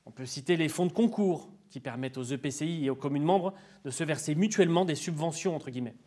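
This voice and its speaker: A male expert with a moderately high-pitched voice. He explains in a formal, teacherly way, with orderly sentences that sound prepared. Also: quick pace, darkish tone. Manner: formal, didactic. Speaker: expert interviewee on intercommunal finance. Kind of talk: structured, prepared explanation in an interview.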